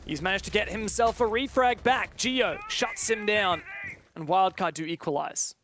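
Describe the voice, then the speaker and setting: A man's voice: sits high, moderate pace, a bit bright, a little hiss or hum. A man doing live play-by-play commentary on an esports match in clipped, dramatic phrases.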